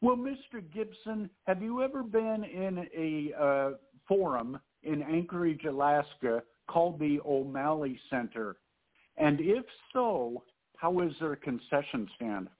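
A man's voice: fairly high pitch, expressive, unhurried. Stiff formal man on a phone line. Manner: formal, stiff, asks long questions. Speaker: podcast co-host. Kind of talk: interviewing a guest.

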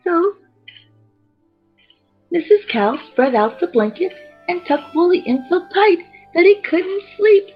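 A woman's voice: very high-pitched, sing-song, slow, pauses often. A woman hosting a children's bedtime story time, expressively narrating and speaking directly to young listeners. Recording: dull lo-fi sound with music.

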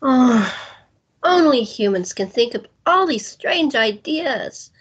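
Husky, calm goddess of death, played by a woman. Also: a little high, slow, lo-fi sound, exaggerated voice. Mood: calm, reassuring. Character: calm goddess of death guiding souls, gently amused. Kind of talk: audio fantasy drama acting.

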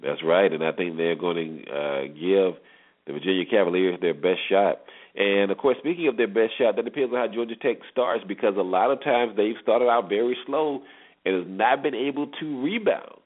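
A man's voice: on the low side, normal speed. An American man hosting a sports show, giving emphatic commentary and repeating phrases for effect, on a dull recording. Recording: dull, lo-fi band-limited sound.

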